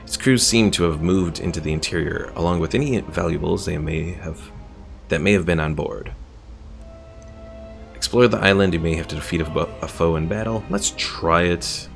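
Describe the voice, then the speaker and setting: A man's voice: sits low, moderate pace, vocal fry. A man giving casual livestream commentary on a game and talking with his chat as host. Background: game music.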